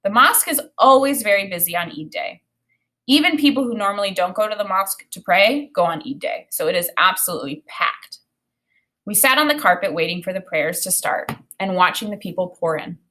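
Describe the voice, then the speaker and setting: A woman reading a children's story aloud in an expressive narrating style. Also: medium pitch, a little fast.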